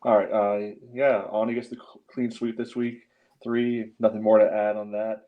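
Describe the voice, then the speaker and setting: A man's voice: lowish voice, little pitch movement, moderate pace. A man co-hosting a sports podcast in casual post-game chat, on a slightly dull lo-fi recording. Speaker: podcast co-host.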